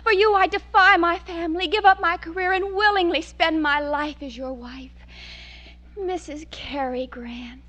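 Casting: melodramatic teenage girl, extremely high voice, old-time radio comedy. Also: fairly even intonation, normal speed, pushed and bright. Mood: swooning. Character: dreamy, melodramatic sixteen-year-old daughter. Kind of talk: 1940s radio sitcom acting.

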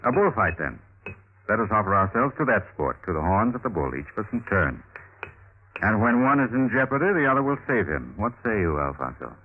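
An actor playing a suave, scheming Renaissance nobleman in a vintage radio drama, with theatrical, deliberate phrasing and an air of cool menace. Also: lowish voice, big pitch swings, slightly rough, full character voice. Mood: suave, challenging. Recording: narrow-band old radio recording with music.

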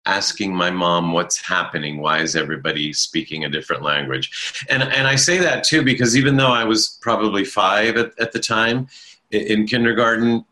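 A man being interviewed, recalling his past in a thoughtful, halting way with frequent restarts and a self-deprecating humor. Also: lowish voice, sharp tone, low-quality recording. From Italian-speaking family in America. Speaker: interview guest, stage performer. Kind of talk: reflective conversational storytelling.

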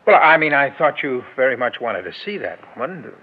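An actor playing a polite, cautious confidant in an old-time radio drama, speaking deferentially and carefully. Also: male voice, mid-range voice, big pitch swings, a little fast, slightly rough. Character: polite, cautious male confidant of his boss. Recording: muffled vintage radio recording.

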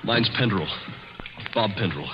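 An actor playing a nervous, hunted young man with a halting, urgent delivery in a 1940s radio drama. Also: on the low side, expressive, background noise. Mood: jittery. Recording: muffled 1940s radio recording.

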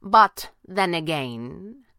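A woman's voice: fairly low pitch, animated, very slow, bright, forceful tone, no echo, clean studio sound, exaggerated voice. A woman voicing a short-tempered, commanding male villain who leads a band of thieves in an adventure story. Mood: menacing. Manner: commanding, snapping.

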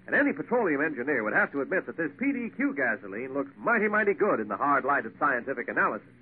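A man's voice: mid-range voice, very animated, fast. An American man reading a vintage radio commercial in a folksy, emphatic sales manner. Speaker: commercial announcer. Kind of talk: 1940s radio advertisement. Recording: tinny, hissy vintage radio broadcast.

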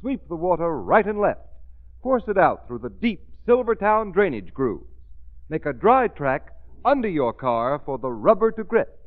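A man's voice: on the high side, very animated, a little slow. Tinny old-time American radio announcer man. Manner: emphatic, dramatic, crisply projected. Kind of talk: 1930s-40s radio announcing and ad reading.

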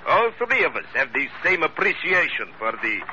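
A smug salvage boss from a vintage radio adventure, very sharp and forceful in tone. His wordy, courtly flattery swings freely in pitch, on a dull old recording. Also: moderate pace, a little hiss or hum, heavily acted. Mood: smug, flattering. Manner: suave, ingratiating, wordy and formal. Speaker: radio drama actor.

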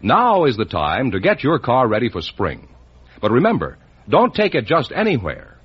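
A man's voice: medium pitch, wildly swooping pitch, moderate pace, husky. An American man reading a scripted 1950s radio commercial with an emphatic salesman's delivery. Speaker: commercial announcer. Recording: muffled vintage radio broadcast.

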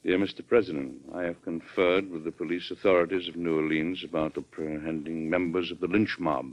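Low-voiced, folksy American president played by a man. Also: level tone, a little fast, close to an everyday voice. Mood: grave. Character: plain-spoken, folksy but resolute male American president. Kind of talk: old-time radio drama acting. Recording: dull lo-fi vintage radio recording.

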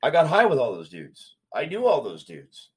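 Man, street slang, animated storyteller, casual interview guest. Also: mid-range voice, moderate pace, slightly rough. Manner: street slang, animated retelling with acted quotes. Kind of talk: casual storytelling about his past.

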